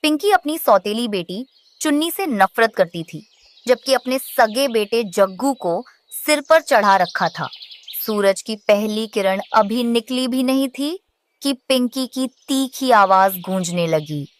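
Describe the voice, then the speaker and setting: High-voiced woman narrating a story. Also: animated, measured pace.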